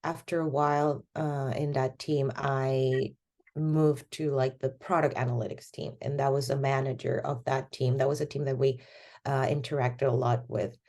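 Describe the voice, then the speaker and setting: Guest, woman, 30s, from Venezuela; low, warm voice, loose rambling delivery. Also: level tone, moderate pace. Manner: rambling, many fillers like "like" and "you know". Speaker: podcast guest. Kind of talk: casual conversational interview.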